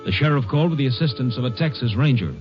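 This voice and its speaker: A man giving measured, formal narration for a 1950s radio drama. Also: mid-range voice, lively intonation. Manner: measured, formal announcer delivery. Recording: muffled vintage radio recording with music.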